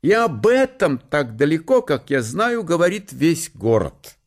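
A man playing a stern, pedantic middle-aged father in a radio drama, with a German accent and halting, broken grammar. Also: a little high, big pitch swings. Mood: pompous, lecturing.

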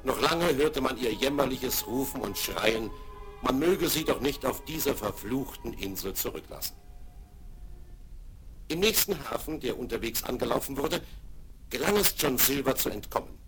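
A male narrator tells a story in the style of a 1970s German radio play. His mid-pitched voice reads scripted narration with music clearly behind it. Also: lively intonation, moderate pace, a bit bright, poor audio.

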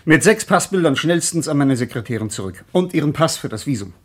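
Husky-voiced, brisk, persuasive publisher. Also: male voice, a little high, expressive. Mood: eager, persuasive. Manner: businesslike, persuasive, urging. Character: brisk, self-assured male publisher, persuasive organiser. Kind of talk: radio play acting.

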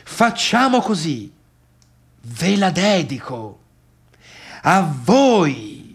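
An actor playing a bitter, needy, boastful failed pianist in a halting theatrical radio monologue that swings between murmurs and outbursts. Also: male voice, high voice, big pitch swings, slightly rough, heavily acted. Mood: wistful. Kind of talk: radio theatre monologue, addressing imagined listeners.

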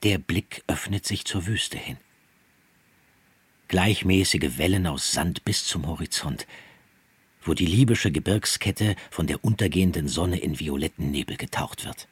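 A man narrating a radio drama in a measured, poetic, descriptive way, as a grave scene-setter. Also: deep voice, husky, no room sound, heavily acted. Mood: hushed, descriptive. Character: grave, unhurried scene-setting male narrator.

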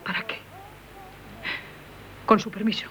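An actress playing a distressed, dignified wife defending herself in 1970s radio theatre. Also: female voice, a little slow, fairly bright tone, natural voice. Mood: wounded, weary. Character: distressed, dignified wife accused of bigamy, female. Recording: dull, noisy 1970s radio recording.

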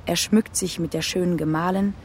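An actor playing a man who reads out a biographical play outline as gravelly scripted narration in a radio drama. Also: sits high, fairly even intonation, moderate pace, a little hiss or hum, natural voice. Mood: declamatory.

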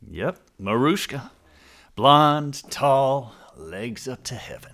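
A man's voice: mid-range voice, expressive, slow pace, fairly bright tone. A man playing a cagey, smitten English speaker in a radio crime drama, talking in short phrases. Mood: smug, smitten.

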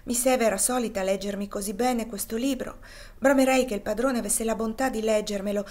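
A woman reading a gentle devout old servant. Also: fairly high pitch, fairly even intonation, fairly quick, lightly acted. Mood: pleading. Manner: soft, pleading, tender. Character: humble devout older enslaved man, gentle. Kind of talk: audiobook character reading.

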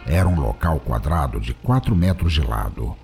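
A man's voice: extremely low pitch, animated, moderate pace, creaky, over music. A man reading scripted narration for an audio drama.

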